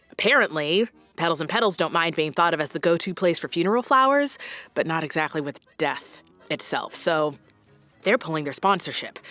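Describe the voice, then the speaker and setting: A woman's voice: deep voice, bright, forceful tone. A woman playing a frazzled, conscientious small-town public radio host in a comedy audio drama, earnest and given to sighing. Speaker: radio host and station journalist.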